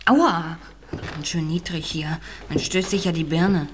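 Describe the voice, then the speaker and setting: A woman's voice: low-pitched, fairly even intonation, measured pace, slight background noise. A woman playing a nervous, reluctant teenage boy detective in a German audio drama. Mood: frightened.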